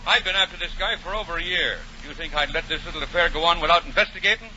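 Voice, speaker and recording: A man's voice: on the high side, fast talker, close to an everyday voice. An actor playing a jovial, shrewd male police detective given to teasing banter, in 1940s radio comedy style. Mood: self-assured. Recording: muffled, noisy 1940 radio broadcast.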